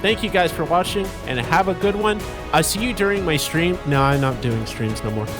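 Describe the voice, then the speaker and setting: A man's voice: on the high side, expressive, a little fast. Man recapping a story over music. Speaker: video creator recapping a visual novel. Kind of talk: narrated story recap with casual commentary. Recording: music under the voice. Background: background music.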